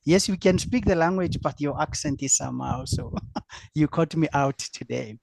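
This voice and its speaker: Gravelly South African man, many fillers. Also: on the high side, a little mellow, dry recording. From South Africa, Free State. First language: Afrikaans. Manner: halting, many fillers and restarts, laughs easily. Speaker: business coach, panel speaker. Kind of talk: answering a question on an online panel.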